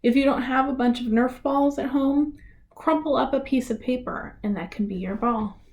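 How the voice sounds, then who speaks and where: A woman giving an instructional presentation for families, speaking with clear, deliberate enunciation. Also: high voice, darkish tone. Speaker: presenter of a library reader's theater tutorial.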